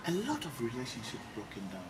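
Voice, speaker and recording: A woman's voice: a bass voice, animated, quick pace. A woman interviewing casually, often repeating her phrases and murmuring agreement. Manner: repeats phrases, frequent backchannels. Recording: distant mic, loud ambient noise.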